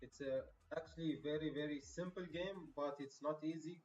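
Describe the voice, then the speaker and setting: A man's voice: on the high side, steady tone, normal speed, a little mellow. A man from Egypt commenting casually on a live stream, talking to viewers as bro and lecturing them on discipline. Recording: roomy, distant and dull with faint music.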